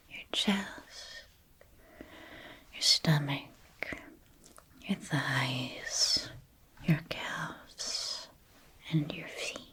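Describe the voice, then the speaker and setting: A woman whispering a guided ASMR meditation, speaking gently and soothingly in measured phrases with long pauses. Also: lowish voice.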